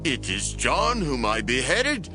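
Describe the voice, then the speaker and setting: A man's voice: medium pitch, huge pitch swings. A pompous indulgent king, bible drama, drawn out swooping delivery, music playing behind. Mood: troubled. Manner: slow, grandly declamatory. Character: King Herod, grand, indulgent male ruler. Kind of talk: dramatized scripture acting. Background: prominent background music.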